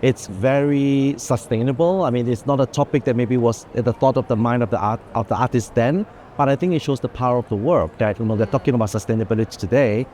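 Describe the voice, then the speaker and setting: A man's voice: medium pitch, fast talker, few pauses, darkish tone, slight background noise. A man who collects art, thinking aloud about artworks on a walking tour, reflective and loose. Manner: reflective, thinking aloud, frequent "you know". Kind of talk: walking gallery conversation. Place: art fair booths.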